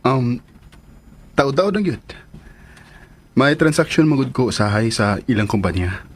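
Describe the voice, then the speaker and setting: Modest, proud male guest, mid-pitched and slightly husky, Filipino radio drama. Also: lively intonation, unhurried. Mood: polite, modest. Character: modest, proud man, reluctant guest avoiding trouble.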